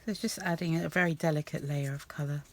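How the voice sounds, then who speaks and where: Woman, low voice, soft rambling chat with ums, slightly croaky, faint hiss behind, craft-along vlog. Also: steady tone, fast talker. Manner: rambling, soft-spoken, many ums and restarts. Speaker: art journaling vlogger.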